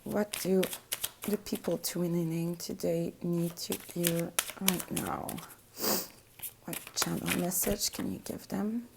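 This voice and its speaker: Soft, gravelly French-accented woman. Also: lowish voice, steady tone, takes it slowly, heavy background noise. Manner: hesitant, searching for words with many fillers. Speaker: tarot reader. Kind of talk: spoken tarot reading.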